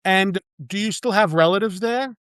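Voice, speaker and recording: A man hosting an interview podcast, reading a formal scripted introduction and then asking questions in a measured way. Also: sits high, fairly bright tone, clean tone, dry recording, studio quality. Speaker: podcast host.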